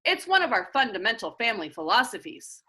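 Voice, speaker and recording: Woman putting on a smug, bright-voiced rich schoolboy. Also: on the high side, big pitch swings, fast talker. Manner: haughty, demanding.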